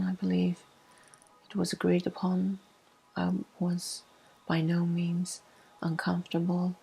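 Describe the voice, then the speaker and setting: A woman speaking softly in a breathy, often half-whispered ASMR style, telling personal stories gently with pauses between short phrases. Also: fairly low pitch, low-quality recording. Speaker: ASMR video host. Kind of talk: soft-spoken ASMR, personal storytelling.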